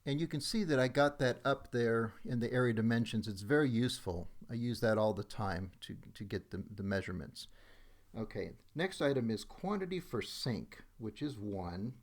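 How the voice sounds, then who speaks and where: A man's voice: medium pitch, lively intonation, moderate pace. A man giving a step-by-step screen tutorial as a demonstrator, methodically announcing each action as he goes. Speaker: software demonstrator. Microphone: voice-over on a screen recording.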